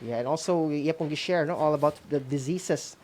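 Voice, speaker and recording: A man's voice: a little high, a little fast, a little mellow. A man with a Filipino accent hosting and informally recapping a lesson for students, hesitant and often adding a questioning tag. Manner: hesitant, frequently tags phrases with "no?". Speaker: host.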